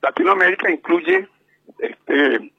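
A man with a Paraguayan Spanish accent, calling into a radio show and speaking slowly with many hesitations. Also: a little high, animated, husky, no echo. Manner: slow and measured, with many hesitations. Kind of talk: slow deliberate radio commentary. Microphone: telephone. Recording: muffled phone line.

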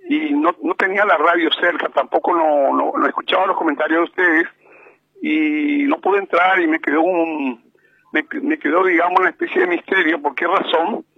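A man with a Paraguayan Spanish accent, calling into a radio show and speaking slowly with many hesitations. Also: fairly high pitch, creaky, husky, no echo. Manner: slow and measured, with many hesitations. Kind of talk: slow deliberate radio commentary. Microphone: telephone. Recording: muffled phone line.

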